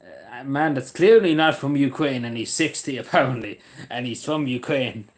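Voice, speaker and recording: A man's voice: mid-range voice, normal speed. A man chatting casually on a livestream, rambling and hesitant with lots of fillers.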